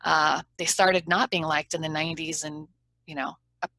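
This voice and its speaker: A woman's voice: low-pitched, level tone, normal speed, sharp tone, no echo. A woman trial lawyer as a guest in an interview, talking in an earnest, frank and persuasive way. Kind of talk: conversational interview.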